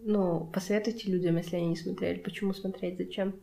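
A woman's voice: fairly low pitch, steady tone, fast, creaky, mellow. A woman hosting a casual podcast chat, asking questions informally and dropping in English slang. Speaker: podcast host. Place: in a room at a home.

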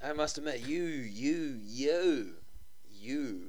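A man voicing a sleazy, flirting Australian man on a date, with a smarmy, drawn-out delivery. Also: medium pitch, expressive, a little mellow, a little hiss or hum, voice pushed down. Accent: Australian (put on).

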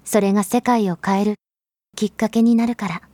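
A woman playing a mysterious, pensive narrator in a Japanese audio drama monologue, addressing the listener in an earnest, intimate, measured way. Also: mid-range voice, steady tone, dark tone, no echo, lightly acted. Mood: earnest, hopeful.

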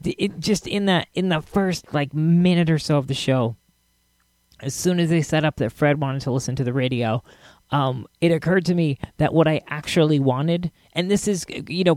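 A man hosting a podcast solo, talking casually in a rambling, halting way with chuckles mixed in. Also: mid-range voice, darkish tone, no room sound. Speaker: podcast host.

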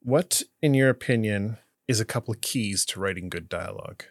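Host, male, somewhat low voice, relaxed, drops quick "mm-hmm"s. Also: no room sound, clean studio sound. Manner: easygoing, short backchannel replies. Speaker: podcast interviewer. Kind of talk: casual interview conversation.

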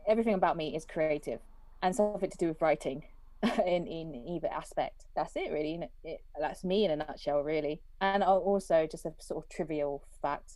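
A woman's voice: deep voice, dark tone, no room sound. A young British woman hosting a podcast over a video call, chatting casually, laughing often and acting out quoted lines. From Britain. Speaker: podcast host.

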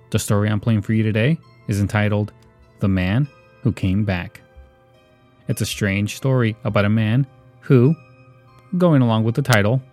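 Low-voiced male podcast host. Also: a little mellow. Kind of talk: scripted introduction.

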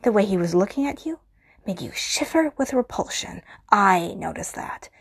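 A woman's voice: mid-range voice, animated, moderate pace, breathy edge. A woman voicing an obsessive, possessive stalker who kills for love, speaking intimately and often in a menacing whisper in an audio roleplay.

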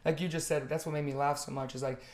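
A man's voice: a little high, fairly even intonation, fast, darkish tone. Earnest rambling man in an echoey room. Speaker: podcast host and coach. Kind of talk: casual conversation.

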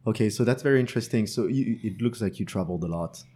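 A man's voice: fairly low pitch, fast, warm tone, husky. A man in his thirties, from France, co-hosting a podcast interview in a casual, friendly, self-deprecating way. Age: 30s. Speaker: podcast co-host.

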